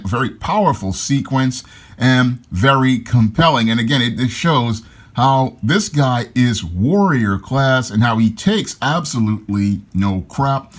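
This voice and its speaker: A man's voice: medium pitch, measured pace. A man giving an enthusiastic, casual comic book review, often repeating himself as he talks. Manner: enthusiastic, repeats "again" and "your".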